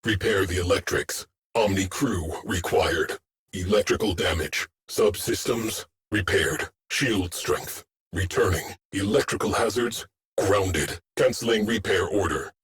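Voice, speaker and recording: A stern male starship AI from a sci-fi video game. The voice is on the low side and very slow, with short, clipped, deadpan military callouts. It stays stoic and terse, like an officer on the bridge. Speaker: ship's tactical AI officer.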